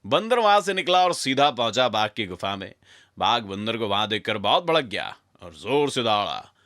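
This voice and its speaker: A man tells a scripted children's story with dramatic flair. His voice is bright and forceful with wide swings in pitch, recorded dry and close. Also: sing-song, normal speed, no echo.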